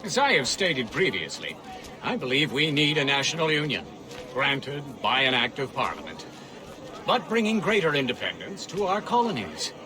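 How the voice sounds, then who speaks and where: An actor playing an eloquent, self-assured colonial statesman in a video game cutscene, oratorical and measured. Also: mid-range voice, sing-song, lightly acted. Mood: rousing.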